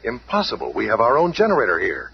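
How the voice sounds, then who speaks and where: An actor playing a serious, authoritative scientist hero who explains things in 1970s radio drama style. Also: male voice, fairly low pitch, huge pitch swings, fast talker. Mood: grave. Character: earnest, authoritative male scientist hero and leader. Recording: muffled, faintly noisy old radio recording.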